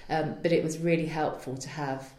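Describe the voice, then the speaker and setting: Low-voiced woman playwright in a roomy space. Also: fairly even intonation, moderate pace, darkish tone. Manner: earnest, searching for words, laughing lightly. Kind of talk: reflective interview answers.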